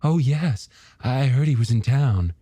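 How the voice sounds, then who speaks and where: A man voicing a polite, warm and slightly shy married woman in an audiobook, gently conversational. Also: mid-range voice, expressive, unhurried, no room sound, pitched above the performer's own voice. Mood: polite, reassuring. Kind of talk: audiobook character dialogue.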